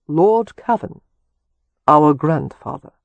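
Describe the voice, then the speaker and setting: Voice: medium pitch, expressive, takes it slowly, vocal fry, no room sound, highs cut off. A man voicing a casual, wry younger brother who is polite yet defiant, in audiobook dialogue. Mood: apologetic, tense. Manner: soft-spoken, casual, wry.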